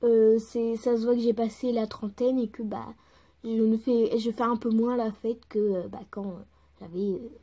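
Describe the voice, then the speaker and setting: Woman playing a halting, murmuring thirtysomething pop singer. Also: fairly high pitch, steady tone, warm tone, lightly acted. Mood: subdued, reflective. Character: laid-back pop singer in the thirties, married, modest. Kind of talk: improvised interview role-play.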